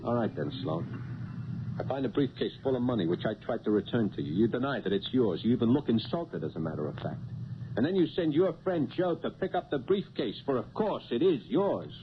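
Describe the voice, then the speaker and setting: A man's voice: mid-range voice, big pitch swings, a little fast, close to an everyday voice. An actor playing a male cab driver turned small-time blackmailer in a 1970s radio drama, with hesitant, folksy working-man phrasing. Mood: sly, pressing. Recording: muffled old radio broadcast, loud vehicle noise.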